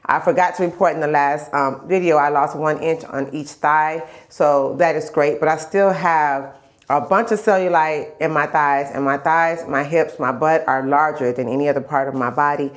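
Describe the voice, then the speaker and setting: A woman's voice: deep voice, fairly even intonation, normal speed. A woman vlogger chatting casually in a candid, rambling video diary, stuffy from a lingering cold and repeating phrases for emphasis. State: stuffy, getting over a cold. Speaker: vlogger sharing health journey.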